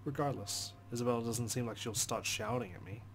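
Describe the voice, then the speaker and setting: A man reading first-person game narration aloud as a let's play host, expressive, with dramatic, deliberate phrasing. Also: mid-range voice, a little hiss or hum. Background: music.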